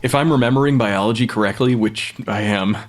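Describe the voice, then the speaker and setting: A man acting in an audio drama as a sharp, secretive forensic pathologist, precise when explaining and awkward under pressure. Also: on the low side, a little fast, close to an everyday voice. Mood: urgent. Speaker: actor in an audio fiction podcast. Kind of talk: full-cast audio drama dialogue.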